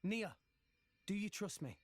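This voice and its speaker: Earnest high-voiced teenage boy hero. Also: animated, unhurried, dry recording. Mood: resolute. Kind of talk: video game cutscene acting.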